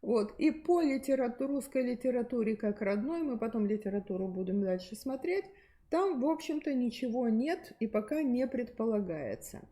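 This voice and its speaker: A woman's voice: fairly high pitch, animated, normal speed, mellow. A middle-aged woman giving an unscripted presentation on textbooks to fellow teachers, explaining with frequent fillers and restarts. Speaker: presenter at a teachers' methods meeting.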